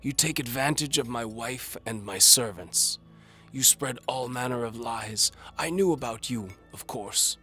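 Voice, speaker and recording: A man's voice: medium pitch, a little slow, sharp tone, faint music behind, pitched above the performer's own voice. A man voicing an old duke in an audio drama, blustering, pompous and indignant. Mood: wounded, indignant. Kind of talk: audio drama character acting.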